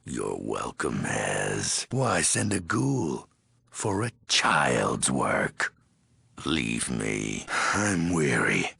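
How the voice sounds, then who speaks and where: A menacing ghoul warlord from a video game, with an extremely low, rasping voice that is thick with gravel. He is weary and commanding, spitting out cryptic slang in terse, broken phrases at a slow, swinging cadence. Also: sing-song, pushed and bright, no echo, studio quality, heavily acted. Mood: impatient contempt. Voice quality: very gravelly, raspy. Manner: terse, commanding, threatening, odd broken syntax. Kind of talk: video game character voice acting.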